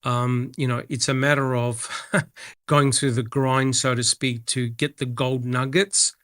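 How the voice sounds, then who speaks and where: Measured man with frequent pauses. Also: mid-range voice, flat delivery, fairly bright tone, no room sound, clean studio sound. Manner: measured, uses filler pauses. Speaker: panel guest. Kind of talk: casual panel discussion.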